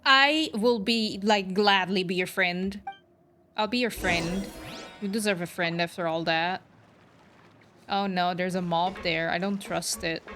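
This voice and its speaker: A woman's voice: fairly low pitch, steady tone, a little slow, a bit bright. A young woman livestreaming a game, reacting and chatting casually as she plays. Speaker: streamer playing a game. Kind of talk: casual live gameplay reaction chat. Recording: game music behind the voice. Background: game music.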